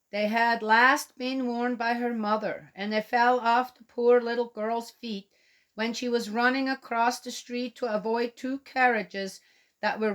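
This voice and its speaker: A woman from Switzerland chatting gently to young children and reading them a picture book aloud. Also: a little high. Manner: gentle, chatty, talks directly to young listeners. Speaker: children's storyteller.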